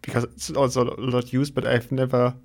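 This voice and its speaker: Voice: medium pitch, flat delivery, fairly quick. A podcast host asking short prompt questions in a casual interview and giving brief encouraging replies.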